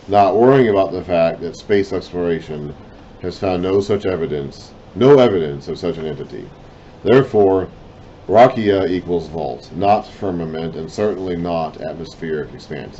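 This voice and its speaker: A man reading and explaining a Bible lesson in a deliberate way, his voice trailing off at the ends of phrases, with self-conscious apologetic asides. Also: deep voice, warm tone, dull audio, a little hiss or hum. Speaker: Bible teacher.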